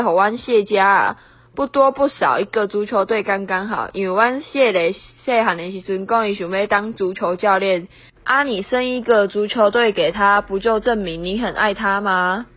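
A woman's voice: mid-range voice, moderate pace, natural voice. Young woman acting a bossy, nagging Taiwanese mother-in-law, roomy sound. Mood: nagging, disdainful. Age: young adult. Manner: nagging, switches between Hokkien and Mandarin. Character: bossy, scolding middle-aged Taiwanese mother-in-law, quick to nag. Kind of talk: amateur radio play acting. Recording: dull, lo-fi, roomy home recording.

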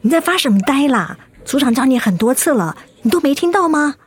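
A woman's voice: high-pitched, lively intonation, a little fast. An actress playing a chirpy, easily flustered young female office friend, nosy and concerned, in a radio drama.

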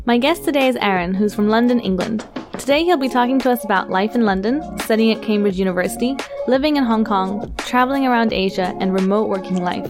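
Chatty young woman hosting with soft music. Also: fairly high pitch, fast. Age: 20s. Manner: laughs often, relaxed and chatty. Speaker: podcast host. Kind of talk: bilingual podcast interview.

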